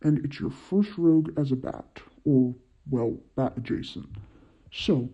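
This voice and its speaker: Audiobook role: wry, commanding male vigilante played by a woman, dropped pitch, heavily muted tone, slightly muffled recording. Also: unhurried, mellow. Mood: firm, instructing. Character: gruff male masked vigilante, bossy and wry older brother.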